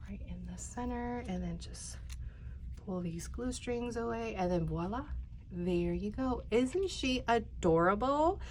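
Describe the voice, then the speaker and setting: A woman's voice: mid-range voice, noisy background. A woman hosting a casual paper craft tutorial, a bit congested, chatty and rambling, often dropping to a near-whisper while she works. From Texas. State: congested, stuffy-sounding.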